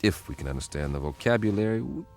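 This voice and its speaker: An actor playing a breezy, confident, eager man in a radio drama, with an excitable, halting way of talking. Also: on the low side, soft background music. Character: easygoing, eager male government codebreaker, breezy and confident.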